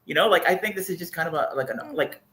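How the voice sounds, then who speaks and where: A man's voice: on the high side, fast talker, pushed and bright. A man on a discussion panel, chatting casually and giving his opinion in a frank, blunt way. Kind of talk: casual chat.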